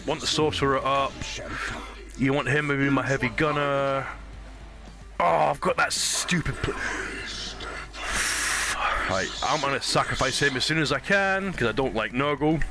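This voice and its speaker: A man's voice: mid-range voice, unhurried, gravelly, pauses often, music in the background. A Scottish man streaming a game, chatting casually, swearing and laughing easily. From Scotland. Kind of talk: casual gaming stream chat.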